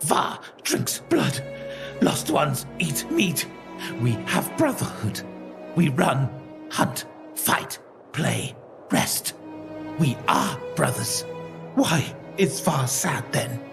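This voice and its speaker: Feral neophyte warrior, heavy fry, swooping pitch, guttural broken speech, childlike savagery, music underneath. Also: male voice, very animated, extremely slow, pauses often, full character voice. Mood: blunt, simple. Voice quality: growling, guttural. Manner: broken, primitive speech in short clipped sentences. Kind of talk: dramatic audio story character acting.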